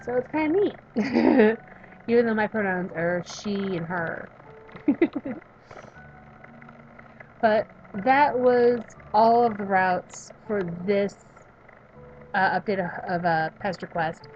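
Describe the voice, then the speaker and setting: A woman in her thirties reading a visual novel aloud and chatting about it, emphatic while reading and quiet when talking about herself. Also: mid-range voice, slow pace, pauses often, lo-fi sound, a little hiss or hum. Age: 30s. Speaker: let's play streamer reading a visual novel. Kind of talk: reading game text aloud and commenting.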